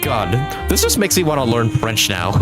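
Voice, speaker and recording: A man's voice: medium pitch, very animated, moderate pace. A man giving casual livestream game commentary, rambling and enthusiastic, with casual swearing. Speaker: game streamer. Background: game music.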